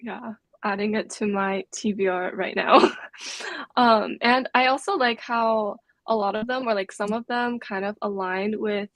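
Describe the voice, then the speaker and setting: A woman's voice: medium pitch, steady tone, a little slow, fairly bright tone, no room sound. A woman hosting a book club interview, reading welcomes and questions in a prepared way.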